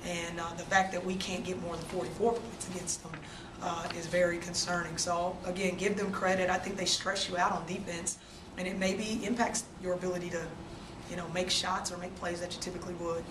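Subdued woman coach, postgame presser, low and monotone, roomy distant desk-mic sound with noise. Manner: subdued, measured, frequent fillers. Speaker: head basketball coach. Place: press room with sponsor backdrop. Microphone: desk mic on stand. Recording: roomy, distant, noisy press room audio.

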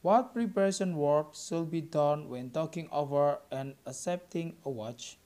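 A young man with an Indonesian accent reads a scripted student presentation aloud, halting word by word. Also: fairly high pitch, darkish tone. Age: young adult.

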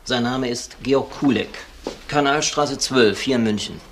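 A man's voice: medium pitch, unhurried, fairly bright tone, a little hiss or hum, lightly acted. An actor playing a male police officer giving a dry, official report in a German crime radio play. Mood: factual, official. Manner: official, matter-of-fact.

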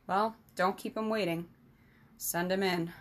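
A woman's voice: medium pitch, steady tone, measured pace, natural voice. A woman voicing a short-tempered, embattled male king who snaps commands through gritted teeth. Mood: irritated.

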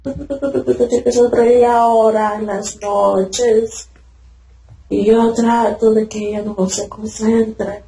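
A woman groans, moans and chants the same syllables again and again, in a fairly high voice with a gravelly edge. She talks slowly, drawing her sounds out. The webcam picks her up in an echoey room with a faint hiss behind her. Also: steady tone, mellow, faint music behind. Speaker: person receiving deliverance prayer. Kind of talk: speaking in a trance-like state during deliverance. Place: indoor room at home. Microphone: webcam on a video call. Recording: echoey video call audio with faint noise.

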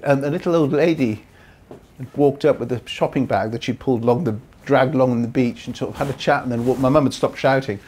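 A man's voice: fairly high pitch, bright, forceful tone. A middle-aged man from northeast England, a comedian telling funny stories in a small photo studio, playful and breaking into shrieking voices and impressions, recorded from a far camera. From Newcastle, northeast England. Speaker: comedian guest. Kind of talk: animated comic storytelling.

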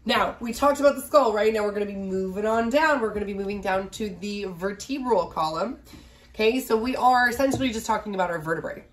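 A woman's voice: mid-range voice, a little fast. A woman in her thirties giving a casual recorded biology lecture from a small home office, a little nasal from a cold, often asking "right?". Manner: chatty, asks "right?" often, fillers. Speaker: biology instructor.

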